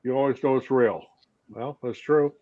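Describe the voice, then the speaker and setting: A man from Illinois with a Midwestern accent co-hosting a live chat, reading out viewer comments in a relaxed way. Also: medium pitch, moderate pace, lo-fi sound. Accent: Midwestern American. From Illinois, USA. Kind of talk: casual livestream chat.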